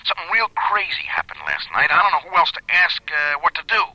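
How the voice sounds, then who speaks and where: An actor in a radio drama playing a frantic young man on the telephone, urgently begging for help, hushed and stammering through a phone filter. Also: on the high side, huge pitch swings, fast, low-quality recording. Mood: desperate.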